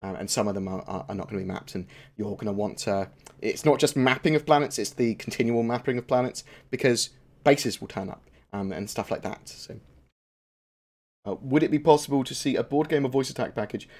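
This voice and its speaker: A man's voice: mid-range voice, fairly quick, darkish tone. A young man chatting casually on a live stream, answering viewer questions with lots of fillers, restarts and swearing.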